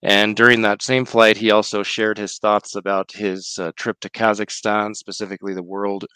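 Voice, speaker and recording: A man with a low voice hosts a religious news roundup. He sounds slightly off from a cold and speaks in a measured, earnest manner. The recording is dry, with very little room sound. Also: little pitch movement, sharp tone, clear, resonant tone, no room sound. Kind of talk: hosting a live-streamed religious news roundup.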